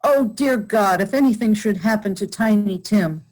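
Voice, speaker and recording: A woman's voice: mid-range voice, normal speed, a little mellow, close to an everyday voice, poor audio. A woman playing a fond, fretful middle-aged mother in a radio play. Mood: fond, motherly.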